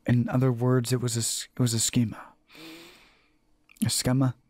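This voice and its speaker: A man's voice: medium pitch, completely flat delivery, moderate pace, a little mellow, no room sound. A man reading a visual novel aloud as dramatic narration, often stumbling and rereading his lines.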